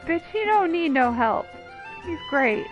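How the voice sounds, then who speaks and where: A woman's voice: very high voice, lively intonation, measured pace, highs cut off. A woman giving casual, playful and informal reactive commentary while playing a video game. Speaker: gamer commentating on a playthrough. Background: game music.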